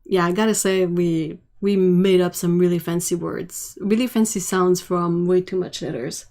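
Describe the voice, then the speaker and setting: A woman hosting a casual livestream, chatting while she paints and answering viewer comments, sometimes dropping to a soft, quiet voice. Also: on the low side, fairly even intonation, normal speed. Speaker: livestream host.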